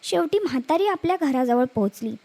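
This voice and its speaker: A woman's voice: high-pitched, lively intonation, a little fast, warm tone. A woman storyteller narrating a scripted children's story, speaking expressively to young listeners.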